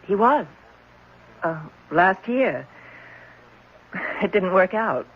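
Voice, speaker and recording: A performer voicing a brisk, businesslike woman who runs a children's home, in a 1970s radio drama. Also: high voice, sing-song, full character voice. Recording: muffled, faintly noisy old radio recording.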